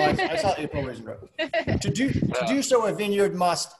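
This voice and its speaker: A man's voice: high voice, low-quality recording. A man in his fifties hosting a virtual wine tasting over a video call, chatty and joking. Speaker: host.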